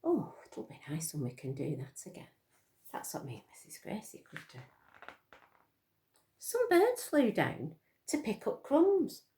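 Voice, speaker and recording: A woman's voice: medium pitch, wildly swooping pitch, measured pace, long pauses, dark tone. A woman reading a picture book aloud to young children, expressively, with questions to her listeners. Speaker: storytime reader for children.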